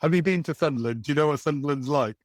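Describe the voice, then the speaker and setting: A man hosting a podcast, asking measured questions that prompt his guest to go on. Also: fairly high pitch, dry recording, clean studio sound. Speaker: podcast host. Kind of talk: interviewing a guest.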